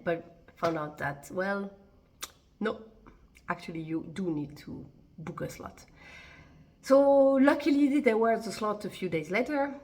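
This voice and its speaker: A young adult woman vlogger chatting casually to camera, rambling with frequent ums and self-corrections. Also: mid-range voice. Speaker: fashion vlogger.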